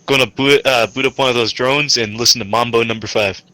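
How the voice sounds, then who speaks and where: A man's voice: medium pitch, steady tone, a little fast, sharp tone, no echo, low-quality recording. A man playing a tabletop game, chatting casually in a playful, quippy way. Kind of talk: casual joking game chat.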